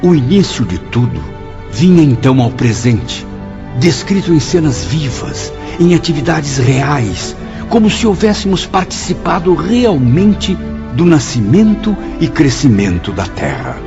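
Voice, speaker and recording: A man's voice: on the high side, very animated, a little slow. An actor playing a humbled male spirit, narrating his memories with literary, reverent fervour in a radio drama. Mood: awed. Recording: dull audio with music bed.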